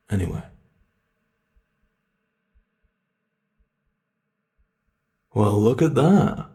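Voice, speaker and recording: Slow, gravelly sadistic monster, acted by a man. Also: medium pitch, darkish tone. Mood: calm, calculating. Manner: slow, menacing, gloating, theatrical musing with drawn-out words. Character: sinister male monster, sadistic flesh-sculpting predator toying with prey. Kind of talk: horror ASMR roleplay.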